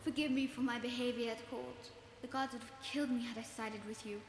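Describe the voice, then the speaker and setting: A woman's voice: on the high side, fairly even intonation, brisk, slight background noise, natural voice. A woman playing an anxious young male ruler in a fantasy game, speaking formally and urgently. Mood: apologetic, earnest. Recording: echoey, music behind voice.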